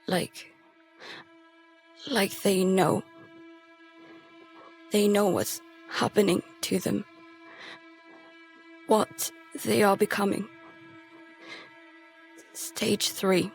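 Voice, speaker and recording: An actress playing a terrified girl who narrates a survival horror audio drama in halting, fragmented phrases. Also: mid-range voice, level tone, creaky, soft background music. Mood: grim dread. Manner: halting, fragmented, hushed dread breaking into pleading.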